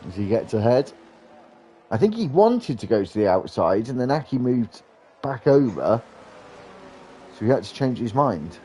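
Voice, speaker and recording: A man with a stuffy, blocked nose from hay fever, calling an online sim race as lead commentator with brisk play-by-play that lifts during overtakes. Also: mid-range voice, very animated, dark tone, slightly rough.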